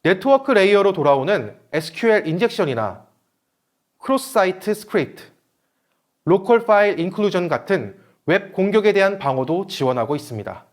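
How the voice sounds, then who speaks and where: A man with a notably high-pitched voice presents technical material from a script. His delivery is formal and read out, yet the pitch moves up and down in a lively way, as in an online seminar talk. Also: moderate pace.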